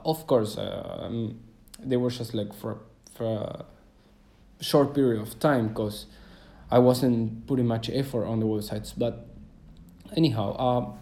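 A young man in his twenties hosts his own podcast in a loose, unscripted monologue, speaking English. His voice is mellow and warm, at an ordinary middle pitch, and he wanders through his thoughts with frequent pauses and false starts. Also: creaky. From Argentina. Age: 20s. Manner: hesitant and rambling, full of fillers and restarts. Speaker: podcast host. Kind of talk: casual solo podcast monologue.

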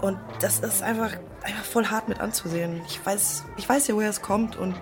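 Prominent music sits behind an actress playing a young woman in a naturalistic audio drama. She is emotional and evasive, talking in everyday, rambling phrases at a medium pitch. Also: steady tone, a little fast, natural voice. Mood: uneasy, defensive. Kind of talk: naturalistic dialogue in a fiction podcast.

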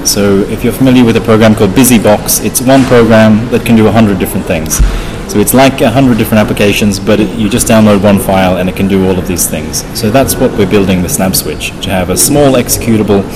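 A man's voice: on the low side, fairly quick, background noise. A man being interviewed as a guest developer, explaining technical things in plain comparisons. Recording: chatter of a crowd behind. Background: busy conference crowd.

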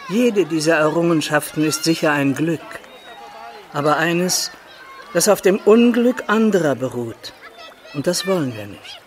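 An actress playing a wise old woman of a forest people in a radio drama, speaking in solemn, measured aphorisms. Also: deep voice, animated. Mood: grave, reproving. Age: older. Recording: music clearly behind the voice.

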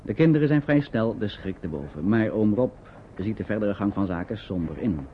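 A man narrating a Dutch radio play in a measured, descriptive storytelling style. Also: lowish voice, lively intonation, lightly acted. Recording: muffled, noisy old radio recording.

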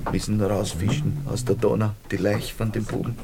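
A man's voice: fairly low pitch, steady tone, fairly quick, vocal fry, crowd noise. An actor playing a flustered, blustering middle-aged Austrian father in a radio play, stammering and repeating himself in agitation. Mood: troubled.

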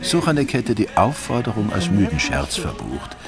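A very low man's voice narrates a comic radio drama with dry irony. His pitch moves in lively swings, with music playing behind him. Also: moderate pace. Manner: dry, ironic. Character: wry male narrator of a comic crime story.

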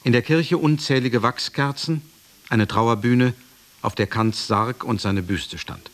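An actor playing a sober companion who narrates a literary radio play in a grave, measured way. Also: male voice, mid-range voice, natural voice. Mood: solemn. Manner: measured, grave, hushed at phrase ends. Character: sober male companion recounting a master's death.

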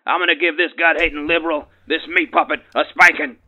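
A man's voice: on the high side, flat delivery, fast, natural voice. A man playing a bigoted, blustering middle-aged radio caller who rants and threatens, heard over a dull lo-fi line. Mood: threatening. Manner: ranting, threatening, pompous.

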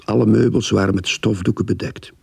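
A man acting a terse detective who narrates his own case in a radio drama, in a gravelly, husky voice. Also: on the low side, fairly even intonation, a little fast, darkish tone, a little hiss or hum. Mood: grim, matter-of-fact.

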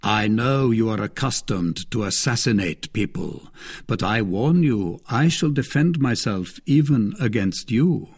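A man reading the part of a cold, contemptuous English nobleman, measured and mocking. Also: lowish voice, expressive, slightly rough, full character voice. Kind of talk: audiobook character reading.

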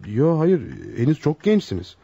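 An actor playing a polite, bemused young bachelor psychologist in a radio play, courteous and questioning, easily flustered. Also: male voice, fairly high pitch, very animated, normal speed, dark tone, breathy edge. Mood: reassuring, firm. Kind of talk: radio theatre acting. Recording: dull, roomy lo-fi radio drama.

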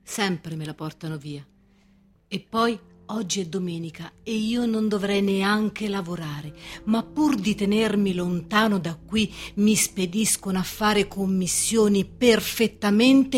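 A woman's voice: medium pitch, natural voice. A woman acting in a radio drama as a servile, resentful male usher and wronged husband, plaintive and brooding. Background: music.

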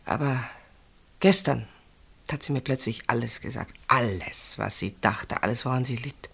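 An actress in a German radio play voicing an earnest, mature woman who speaks deliberately and confidingly, with weighted pauses. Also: very deep voice, vocal fry, fairly bright tone, breathy edge, exaggerated voice. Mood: hesitant, confiding. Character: earnest older woman, sister pleading for a marriage.

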